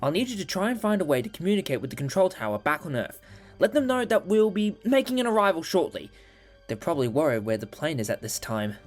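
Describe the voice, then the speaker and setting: A man acting a brisk, upbeat time-travelling alien adventurer in a fan audio drama, playful with hesitant asides. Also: fairly high pitch, very animated. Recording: loud music behind.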